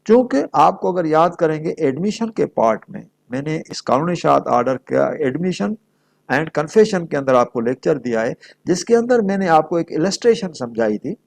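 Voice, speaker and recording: Pakistani guy teaching law, pretty high voice, slow and deliberate, keeps asking then answering his own questions. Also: creaky, darkish tone. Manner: didactic, switches between Urdu and English, poses questions and answers them. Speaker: law lecturer. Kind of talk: explanatory lecture with worked examples.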